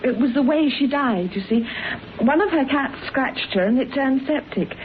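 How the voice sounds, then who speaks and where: A woman's voice: high-pitched, moderate pace, close to an everyday voice. A woman acting an earnest, polite young British daughter in a radio drama, composed and explanatory. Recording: tinny narrowband radio recording, faint noise.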